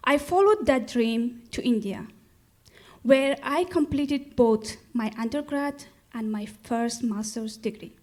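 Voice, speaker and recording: Young Afghan woman, very slow and measured, mellow fairly high voice, solemn speech to a crowd. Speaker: graduate student and storyteller. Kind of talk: speech to a live audience.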